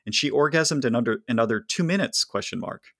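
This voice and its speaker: A man's voice: mid-range voice, expressive, a little fast, gravelly, fairly bright tone, no echo. A man co-hosting a podcast, reading listener questions aloud and joking dryly. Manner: dry, wry, reads listener letters aloud. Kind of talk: casual podcast chat.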